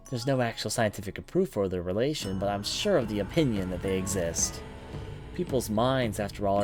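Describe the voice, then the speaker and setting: A man's voice: on the low side, a little mellow, lightly acted. A man voicing a studious young woman from a visual novel who explains things in an assured manner. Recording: music behind the voice.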